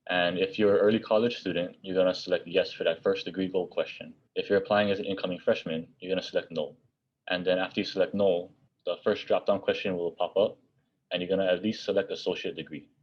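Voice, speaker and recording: Male tutorial narrator, deep voice, plain instructional read, slightly muffled recording. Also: fairly even intonation, fast talker. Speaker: college outreach presenter. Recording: dull, muffled lo-fi audio.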